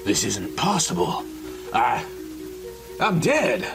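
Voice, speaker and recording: A man's voice: medium pitch, huge pitch swings, slow, vocal fry, over music, heavily acted. A man voicing a terrified, haunted gangster on the run in a horror comic dramatization, trembling and prone to frantic cries. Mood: dazed, shaken.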